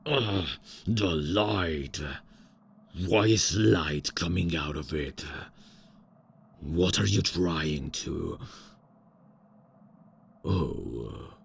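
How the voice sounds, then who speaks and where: A pompous ancient vampire lord, voiced by a man in an audio drama, with an extremely deep voice and a gravelly rasp. He talks very slowly and grandly, as if every word matters. Faint ambience sits behind him. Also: slight background noise, exaggerated voice. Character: old arrogant male vampire lord, pompous. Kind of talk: ASMR roleplay audio drama.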